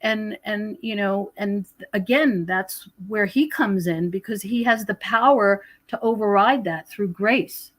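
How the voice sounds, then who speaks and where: A woman's voice: mid-range voice, a little slow, few pauses, fairly bright tone. A middle-aged or older woman speaking as an interview guest, explaining spiritual teachings in an earnest, conversational way. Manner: earnest, explanatory, quotes scripture. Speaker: podcast guest, author and researcher.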